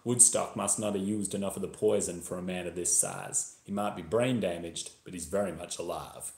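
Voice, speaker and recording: A man's voice: low voice, moderate pace, vocal fry, a little mellow. A man voicing a tense, hard-boiled Texan narrator of a crime thriller, with an attempted Texas accent. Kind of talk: first-person audiobook-style thriller reading. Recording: roomy echo, live video stream.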